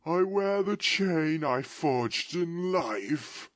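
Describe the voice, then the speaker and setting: A man voicing a doomed, mournful male ghost in chains, drawing out his words in a slow lament. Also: on the high side, animated, fairly bright tone, no room sound, voice pushed up, full character voice.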